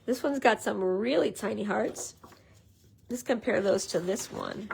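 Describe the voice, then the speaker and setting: Chatty craft demonstrator, woman, hoarse with a head cold, gravelly. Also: mid-range voice, unhurried, darkish tone, slight background noise. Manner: chatty, greets viewers, many fillers. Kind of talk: casual live craft tutorial.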